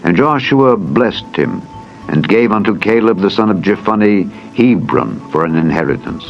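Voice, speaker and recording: A man reading scripture as a narrator in a solemn, measured, formal manner, as in a dramatized audio Bible. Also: sits low. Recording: dull lo-fi audio with music behind. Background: background music.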